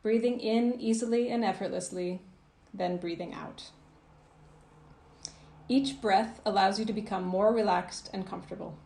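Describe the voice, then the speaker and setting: A woman narrating a guided visualisation as a coach, in a soothing, gentle, measured manner with phrases that trail off softly. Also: mid-range voice, fairly even intonation, reverberant. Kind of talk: guided meditation, scripted narration.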